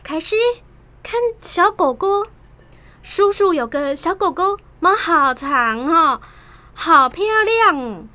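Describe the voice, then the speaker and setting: A young mother in a radio drama, coaxing a small child. Her voice is high and bright, with slow, over-careful sing-song delivery, recorded close and dry. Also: lively intonation, no room sound, exaggerated voice. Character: young city mother coaxing her toddler in affected Mandarin.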